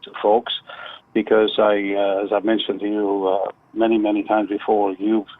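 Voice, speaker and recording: A man's voice: on the low side, monotone, normal speed. Older man on a muffled phone line. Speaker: phone-in guest, retired contractor. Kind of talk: casual radio phone interview.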